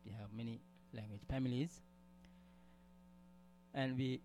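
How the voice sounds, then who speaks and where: A man's voice: medium pitch, fairly even intonation, a little slow, mellow, a little hiss or hum. A man from Sri Lanka giving a conference presentation, hesitant, with many fillers and restarts. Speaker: conference speaker.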